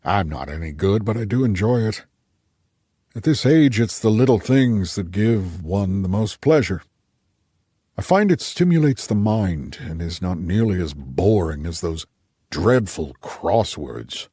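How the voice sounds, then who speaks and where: A man's voice: sits low, animated, a little slow, mellow, breathy edge, no room sound, clean studio sound, heavily acted. Man voicing a spry, genial old man. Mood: genial, welcoming. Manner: genial, wry, talkative. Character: spry, cheerful old man near ninety, amateur painter.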